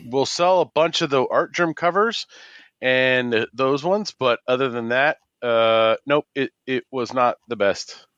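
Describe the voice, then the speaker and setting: A man's voice: mid-range voice, measured pace, a bit bright, clear, resonant tone, no room sound, highs cut off. A man co-hosting a casual podcast, speaking in a plainspoken, matter-of-fact way. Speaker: podcast co-host. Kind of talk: casual comics podcast chat.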